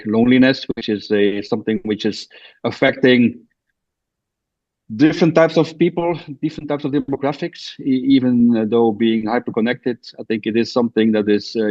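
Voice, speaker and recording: A man from Belgium, a guest on a podcast, explains his work slowly with many hesitations and restarts. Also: fairly low pitch, darkish tone. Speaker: guest, co-living founder. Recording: dull lo-fi remote audio.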